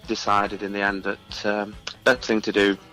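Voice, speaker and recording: A man's voice: on the low side, completely flat delivery, normal speed, pushed and bright, faint music behind, rough recording. A man in his forties chatting casually as a musician guest in an interview. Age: 40s. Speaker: musician guest, singer-songwriter.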